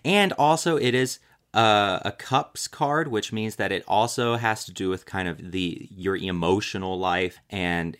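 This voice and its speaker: Deep-voiced man guiding a tarot reading. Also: a bit bright. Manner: explanatory, reflective, checks in with listener.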